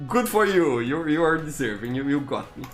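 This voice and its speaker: Male streamer, Brazilian accent, animated delivery, halting word-searching, background music on the stream. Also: fairly high pitch. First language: Portuguese. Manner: halting, often repeats words while searching for them. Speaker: game streamer. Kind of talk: casual gameplay commentary, answering chat.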